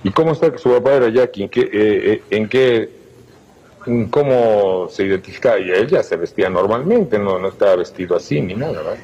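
A man's voice: lowish voice, animated, brisk, darkish tone, husky, highs cut off. An older man hosting a TV interview. He asks probing questions and often interjects. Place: indoor art gallery room, seated.

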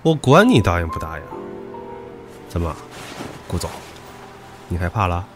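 Man acting a brash, low-voiced young hothead. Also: sing-song, normal speed, music in the background. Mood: menacing, pushy. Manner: mocking, crude, provocative. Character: brash, hot-tempered, taunting young male subordinate. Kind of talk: modern audio drama acting.

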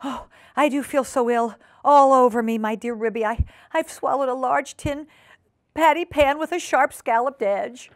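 Woman acting a high, stammering, flustered lady dog. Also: moderate pace, no echo, clean studio sound. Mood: distressed. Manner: stammering, hesitant, overpolite. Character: anxious, flustered female dog guest, prone to wailing.

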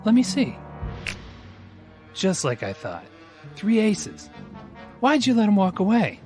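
Radio drama role: brash, suspicious gambling crony, swooping pitch, faint music. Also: male voice, wildly swooping pitch, takes it slowly, a little mellow, exaggerated voice. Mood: indignant.

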